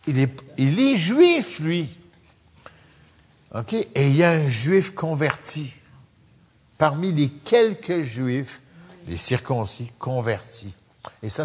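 Guy from france leading bible study, kinda high voice, talks casual and asks the room stuff, echoey hall. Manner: conversational, asks the audience questions. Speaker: pastor.

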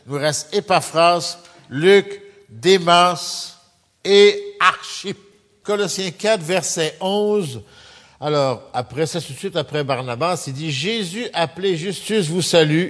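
A man born in France, living in Canada, teaching a Bible study in a relaxed conversational way and often asking his listeners questions. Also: a little high, big-room sound. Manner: conversational, asks the audience questions. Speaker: pastor.

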